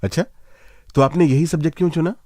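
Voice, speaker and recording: A man's voice: fairly high pitch, steady tone, fast talker, a little mellow, slight background noise. A man presenting a radio magazine show in a playful, conversational way, addressing listeners directly.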